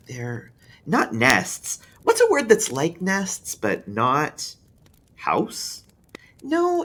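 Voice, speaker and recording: A man's voice: mid-range voice, a bit bright, pitched above the performer's own voice, heavily acted. A man voicing a precocious, serious young boy in an eerie storybook, earnest and thoughtful, a bit scared. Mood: solemn, searching. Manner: earnest, matter-of-fact, pausing thoughtfully. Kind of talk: read-aloud eerie storybook dialogue.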